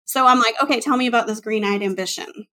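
A woman in her late thirties hosts a relaxed chat, her voice sitting a little high. She talks in a bubbly, giggly run of asides and jokes at her own expense. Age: 30s. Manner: chatty, giggly, quick asides and self-mocking. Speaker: podcast host. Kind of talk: casual interview chat.

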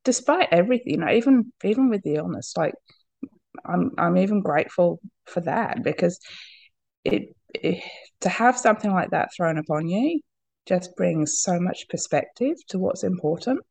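A woman with a cold and a husky voice, talking candidly as a podcast guest, laughing often and restarting her sentences. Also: lowish voice, expressive, moderate pace, a little mellow, dry recording. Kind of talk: candid personal conversation.

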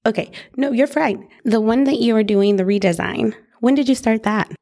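A woman's voice: fairly high pitch, normal speed, dark tone. A woman hosting a podcast interview in an upbeat, friendly way. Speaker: podcast host.

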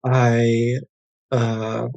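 An American man in his thirties talks easily about his work as a language coach. He rambles a little, with plenty of "like" and "uh" fillers. His voice sits at an ordinary male pitch, and the recording is close and dry with no room sound. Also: very slow, creaky, dry recording, muffled recording. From United States. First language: English. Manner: relaxed and chatty, many "like" and "uh" fillers. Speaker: guest, English language coach. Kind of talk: casual interview conversation.

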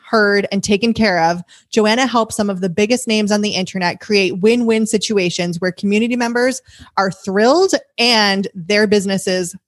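A woman's voice: medium pitch, dry recording, studio quality. A woman hosting a podcast, chatting casually with a guest and laughing often. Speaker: podcast host. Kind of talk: casual interview chat.

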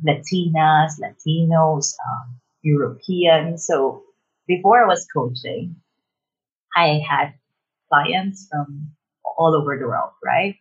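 Filipino woman guest, Tagalog native, animated and giggly, lo-fi sound. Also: fairly low pitch. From Philippines. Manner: animated, giggly, self-assured. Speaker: business coach, guest. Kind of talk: casual interview.

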